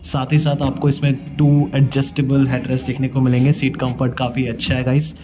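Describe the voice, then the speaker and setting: A man's voice: mid-range voice, monotone, brisk, noisy background. A man giving a casual walkaround car review at a busy indoor auto show, chatty, mixing English words into Hindi. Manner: chatty, mixes English words into Hindi, frequent "guys" and "yaar".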